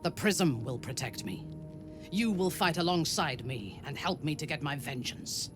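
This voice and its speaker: A proud female commander from a video game, as acted in a performance, stern and coldly vengeful. The delivery is formal, measured and commanding, with clear music behind it. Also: medium pitch, lively intonation, lightly acted. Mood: vengeful resolve. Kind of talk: video game dialogue acting. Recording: music under the voice.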